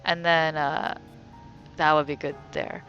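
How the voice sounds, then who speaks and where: Bright-voiced woman chatting through a game. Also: deep voice, flat delivery, slow pace, muffled recording, soft background music. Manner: rambling, thinking aloud, wry. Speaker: gamer, let's play host. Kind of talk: casual, chatty let's play commentary.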